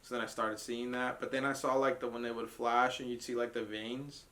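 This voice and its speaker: A man's voice: mid-range voice, little pitch movement, fairly quick, a bit bright. A man co-hosting a casual reaction podcast, blunt and profane, ranting with frequent laughs. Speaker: podcast co-host reviewing a trailer. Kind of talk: casual group reaction chat.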